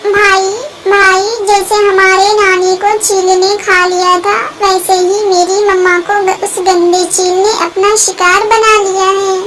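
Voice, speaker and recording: A woman's voice: extremely high pitch, flat delivery, slow. A woman voicing a small, childlike young bird with a plaintive manner in a children's cartoon. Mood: distressed. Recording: clear background noise.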